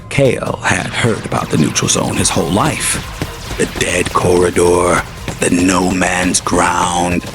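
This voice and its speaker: Slow gravelly male narrator. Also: sits low. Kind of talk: scripted audio drama narration.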